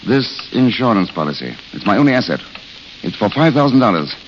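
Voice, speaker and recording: A man's voice: lowish voice, very animated, brisk. A man acting a fatalistic romantic idealist in an old radio drama, courteous and literary in his speech. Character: world-weary romantic idealist, eloquent and fatalistic. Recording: hissy, dull old radio recording.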